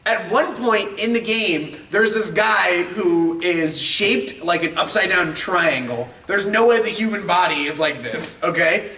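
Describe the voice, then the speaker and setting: A man's voice: sits high, measured pace, hardly any pauses, sharp tone. A man hosting a casual game and film chat, talking in an emphatic, profane, ranting way and repeating himself for stress. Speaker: show host. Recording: roomy echo, distant mic, faint noise.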